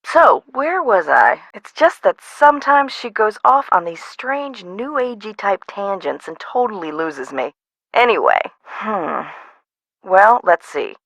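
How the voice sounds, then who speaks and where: A woman voicing an amusement park owner in a mystery game, businesslike, with a measured, conversational, musing manner. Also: mid-range voice, big pitch swings, a bit bright, no room sound, heavily acted. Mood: musing, candid. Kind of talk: scripted game dialogue.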